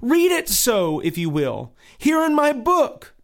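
A man playing a fervent, high-pitched pilgrim. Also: huge pitch swings, slow, creaky, heavily acted. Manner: fervent, preaching. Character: earnest devout male pilgrim, pleading.

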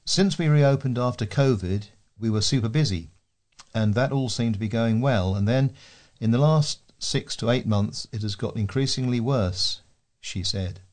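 A man's voice: mid-range voice, normal speed, a little mellow. A man reading local news aloud as a volunteer newsreader.

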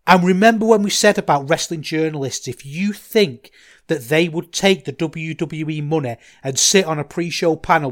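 Manchester bloke, middle-aged podcast host, slightly high voice, rambling and fired up. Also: male voice. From England. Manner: enthusiastic, rambling, gets loud when excited. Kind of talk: casual solo podcast chat.

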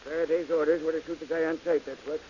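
A man's voice: fairly high pitch, level tone, fast talker, mellow, dull audio, close to an everyday voice. A man playing a smug trigger-happy cop. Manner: smug, callous. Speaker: police officer. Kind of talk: 1940s radio acting. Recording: hissy old radio recording.

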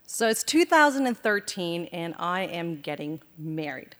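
A woman's voice: fairly low pitch, big pitch swings, a little slow, a bit bright. Earnest woman in a reverberant theater. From Afghanistan, raised in Canada. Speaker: storyteller. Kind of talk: live personal storytelling on stage. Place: theater stage.

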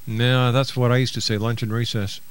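A man's voice: medium pitch, flat delivery, brisk, clean tone. A middle-aged man hosting a radio show, speaking as an interviewer.

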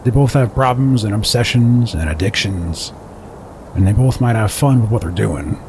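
A man's voice: lowish voice, animated, normal speed, background noise. A man playing a streetwise former criminal turned mentor in an audio drama, dry and commanding.

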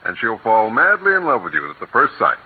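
A cheerful, fond father speaks with a husky, lively lilt. The old recording is tinny and phone-like. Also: on the low side, fairly quick, heavily acted. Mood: jovial. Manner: jovial, fond. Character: cheerful newly married father. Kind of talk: 1940s radio acting. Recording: tinny old radio broadcast.

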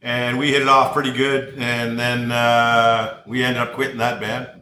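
Bright-voiced man in echoey room. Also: mid-range voice, flat delivery, a little slow, a little hiss or hum. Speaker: guitarist. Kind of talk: casual chat.

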